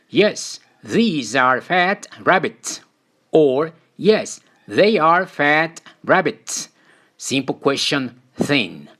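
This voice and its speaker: A man reading a scripted beginner language lesson as a teacher, deliberately delivering short example sentences phrase by phrase. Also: mid-range voice, sharp tone. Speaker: English teacher.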